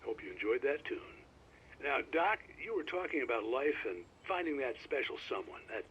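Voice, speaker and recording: An actor playing a folksy older small-town radio host in a video game, rambling and halting, heard through a radio. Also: male voice, medium pitch, sing-song, fairly bright tone. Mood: genial. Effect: radio filter. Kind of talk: conversational radio show acting. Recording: dull, noisy radio broadcast.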